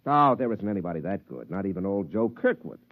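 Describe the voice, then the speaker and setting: An actor playing a proud, intense champion golfer under pressure in a 1950s radio drama, with an emphatic, dramatic delivery. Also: male voice, sits low, sing-song, fast. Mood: impressed, dismissive. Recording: tinny, narrow old radio recording.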